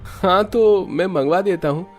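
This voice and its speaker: A man's voice: sits high, measured pace, a little mellow. A man voicing the earnest grown son of a poor family in a Hindi cartoon story.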